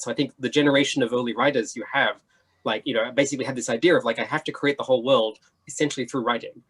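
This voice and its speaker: A man's voice: mid-range voice, a bit bright, husky. A man speaking informally as a panelist, discursive and often checking for agreement. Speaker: panelist, writer and editor. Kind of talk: casual panel chat.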